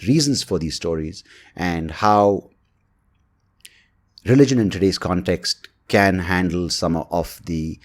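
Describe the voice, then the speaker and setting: A male podcast host with a low voice speaks casually and without a script. He rambles and hesitates, working out his thoughts as he goes. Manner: rambling, hesitant, thinking aloud. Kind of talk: casual, unscripted podcast monologue and chat.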